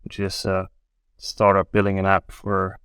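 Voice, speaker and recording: A man, telling his story as a podcast guest in a relaxed, wry way. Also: low-pitched, a little slow, clear, resonant tone, dry recording, clean studio sound. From Sweden. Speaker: guest, angel investor. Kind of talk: casual podcast interview.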